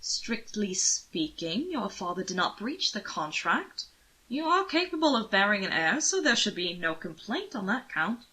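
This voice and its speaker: A woman voicing an amused, rakish young aristocratic gentleman in an audiobook, with a suave, drawling, teasing manner. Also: mid-range voice, big pitch swings, measured pace, husky, heavily acted, rough recording. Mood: wry, calculating. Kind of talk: audiobook character voice.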